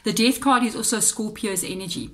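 A woman's voice: mid-range voice, fairly quick, fairly bright tone. A South African woman reads tarot at a table, chatting to her viewers and thinking aloud as she turns each card. Her voice sounds slightly off today. The tone is casual and direct. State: voice a bit off, slightly unwell-sounding. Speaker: tarot reader. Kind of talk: casual tarot reading to camera. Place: indoors at a tabletop altar.

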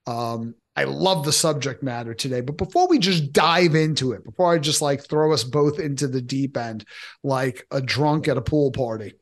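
A man's voice: medium pitch, normal speed. A man hosting a podcast interview in a casual, playful, informal chat style. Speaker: podcast host.

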